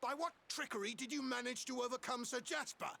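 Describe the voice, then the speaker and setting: Casting: startled henchman soldier for a video game, very high, heavily creaking voice, theatrical, indignant. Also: fairly even intonation, fairly quick, pushed and bright, exaggerated voice. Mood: indignant disbelief. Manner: theatrical, archaic phrasing.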